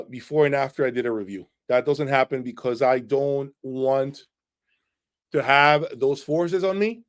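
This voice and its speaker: A man hosting an unscripted audio review livestream, talking in an emphatic, opinionated way and often checking in with "okay?". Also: medium pitch. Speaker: audio equipment reviewer and channel host. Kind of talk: unscripted livestream talk answering viewers' questions.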